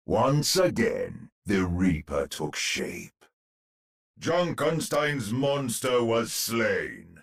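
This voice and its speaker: Game casting: grandiose gothic storyteller knight, low and husky, theatrical swoops, savors every word. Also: male voice, heavily acted. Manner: pompous, dramatic, savoring words. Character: grandiose old storyteller knight narrating a spooky tale.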